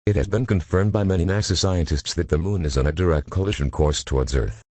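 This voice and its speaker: A man reading a formal emergency broadcast announcement in a solemn, measured, impersonal way. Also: deep voice, dark tone, no room sound.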